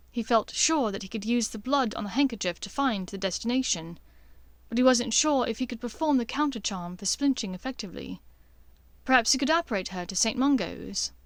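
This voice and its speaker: A woman's voice: fairly high pitch, no echo. A woman reading scripted fiction as an audiobook narrator, in a dramatic storytelling style.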